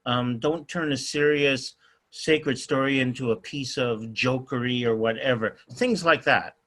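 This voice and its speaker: Bright-voiced man, earnest folklore collector. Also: medium pitch, a little slow. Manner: earnest, hesitant with um. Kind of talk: casual remarks on a video call. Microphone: video call.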